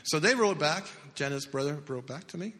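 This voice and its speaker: A man's voice: fairly high pitch, expressive, sharp tone. Earnest older man in an echoey hall. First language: not English. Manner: earnest storytelling, halting restarts. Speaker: church speaker giving testimony. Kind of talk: personal testimony talk. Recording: echoey hall with long reverb.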